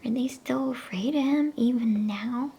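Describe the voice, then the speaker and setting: A woman voicing a distressed, tearful young girl from a storybook in a breathy whisper. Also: unhurried, dark tone, exaggerated voice. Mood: anguished. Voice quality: breathy whisper, raised higher. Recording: faint background noise.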